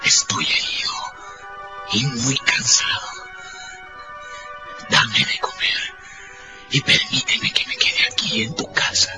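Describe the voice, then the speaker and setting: An actor playing a wounded, weary invisible scientist in a radio drama, speaking in a breathy half-whisper with menace and insistence. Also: male voice, mid-range voice, wildly swooping pitch, slow, pauses often. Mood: weary, insistent. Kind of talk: Spanish-language radio drama acting. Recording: loud music behind.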